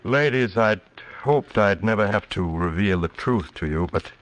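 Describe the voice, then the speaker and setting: An actor playing a grave, courtly small-town judge, formal and solicitous, in a 1940s radio drama on a noisy old recording. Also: male voice, on the low side, animated, normal speed, natural voice. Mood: regretful, grave.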